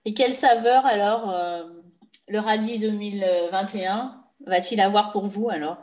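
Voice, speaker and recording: A woman's voice: medium pitch, moderate pace. A woman interviewing rally participants, asking brief, friendly questions.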